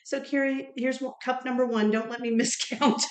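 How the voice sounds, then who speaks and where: A woman's voice: high-pitched, flat delivery, normal speed. A middle-aged woman from Ohio, chatting casually and telling stories as a podcast co-host. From Ohio, United States. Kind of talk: casual chat, storytelling. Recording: roomy, echoing room sound.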